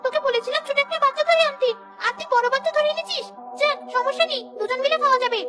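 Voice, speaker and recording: Menacing she-ghost for a cartoon: extremely high, taunting, domineering, music underneath. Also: very fast. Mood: menacing, accusing. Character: bossy, menacing female ghost who hungers for children. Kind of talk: cartoon character acting.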